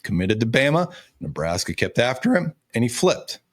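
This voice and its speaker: A man's voice: mid-range voice, sing-song, moderate pace. Man in his thirties with a cracking voice. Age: 30s. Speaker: sports show host and recruiting analyst. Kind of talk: college football recruiting commentary.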